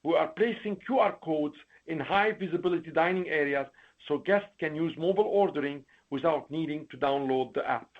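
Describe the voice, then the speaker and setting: Husky, higher-voiced man on dull call audio. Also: fairly quick. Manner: formal, reading prepared remarks with occasional stumbles. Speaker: company chief executive. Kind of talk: scripted earnings call presentation.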